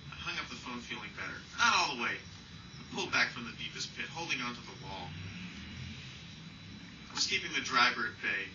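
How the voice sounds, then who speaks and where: A man's voice: mid-range voice, sing-song, fairly quick, pauses often. Man, author reading live, wry and self-deprecating, echoey room, far from the mic. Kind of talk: live reading of a personal essay. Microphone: distant from the speaker. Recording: distant, roomy, muffled, noisy live recording.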